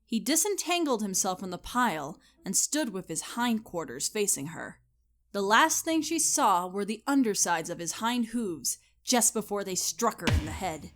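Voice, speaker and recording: Woman reading a story dramatically, mid pitch, expressive, soft music behind. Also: moderate pace. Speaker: narrator. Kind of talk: scripted dramatic story narration.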